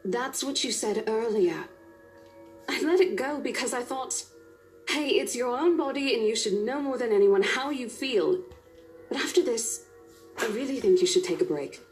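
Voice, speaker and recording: A woman's voice: fairly high pitch, animated, normal speed, soft background music, natural voice. A woman voicing a caring, firm young estate agent in a visual novel game, earnest and insistent as she coaxes a coworker. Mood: concerned, firm.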